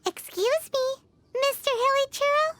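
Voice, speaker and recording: An actress voicing a small, chirpy and bossy girl companion in a game, with a squeaky, childlike voice. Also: a little slow, fairly bright tone. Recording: game audio over music.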